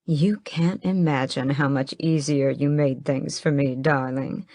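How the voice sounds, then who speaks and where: A woman's voice: a bass voice, steady tone, a little mellow, dry recording, studio quality, voice pushed down. A woman voicing a languid, sinister male vampire who drawls and mocks his captive. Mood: mocking. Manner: drawling, mocking, unhurried.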